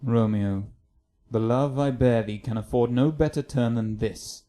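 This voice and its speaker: A man's voice: medium pitch, a little slow, warm tone, lightly acted. An actor playing a menacing, quarrelsome nobleman in a Shakespearean radio drama, speaking formally and threateningly. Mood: coldly threatening.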